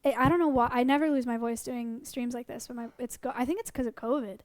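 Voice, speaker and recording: A woman in her twenties chatting casually on a livestream, answering questions about sanctuary animals with lots of ums and restarts, while her voice is going hoarse. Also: a little high, steady tone, quick pace, a little mellow, dry recording. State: losing her voice.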